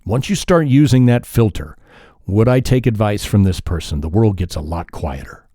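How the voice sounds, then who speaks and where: Male podcast host, lowish mellow voice, dry close sound, calm reflective delivery. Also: no room sound. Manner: calm and measured, with pauses and self-corrections. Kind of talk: reflective solo podcast monologue.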